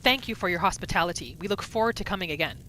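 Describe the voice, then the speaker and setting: Lively-voiced woman narrating a game. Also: on the low side, rapid-fire, slight background noise, poor audio. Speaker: gaming YouTuber. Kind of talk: narrated roleplay game commentary. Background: game music.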